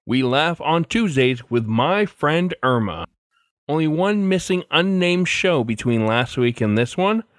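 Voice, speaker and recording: A man's voice: on the high side, expressive, measured pace, no echo, studio quality. A man hosting a podcast, announcing from a script. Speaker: podcast host. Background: music.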